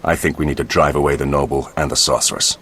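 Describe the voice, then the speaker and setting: An actor playing a brash, defiant monster hunter in a video game, scornful and combative. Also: male voice, very deep voice, fairly even intonation, quick pace, fairly bright tone, a little hiss or hum.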